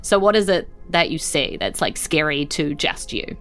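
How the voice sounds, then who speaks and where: A woman's voice: deep voice, lively intonation, normal speed, vocal fry, soft background music. A woman with a New Zealand accent improvising casual, conversational narration as a tabletop game master. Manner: informal, conversational.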